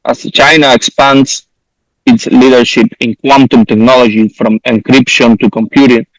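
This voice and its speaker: A man's voice: medium pitch, fairly even intonation, moderate pace, no room sound, low-quality recording. A man moderating an online academic conference, relaying questions from the audience to the speaker.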